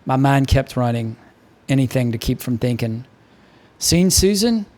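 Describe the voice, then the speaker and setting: A man's voice: mid-range voice, level tone, moderate pace, darkish tone. A man narrating a first-person novel as an audiobook reader.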